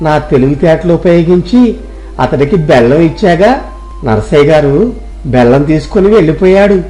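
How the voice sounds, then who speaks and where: A man's voice: a little high, normal speed, warm tone, natural voice, low-quality recording. A man voicing an eager, honest young shop helper in a moral story, speaking respectfully and earnestly. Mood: proud.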